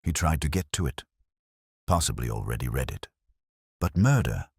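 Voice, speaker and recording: A man voicing a middle-aged police inspector who speaks mildly and dryly, with a very deep husky voice. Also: lively intonation, normal speed, darkish tone, no room sound, exaggerated voice. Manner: mild, dry delivery.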